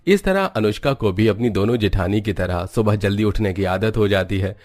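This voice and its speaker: A man's voice: fairly low pitch, moderate pace, a little mellow. A man narrating a cartoon family story in a scripted storybook style.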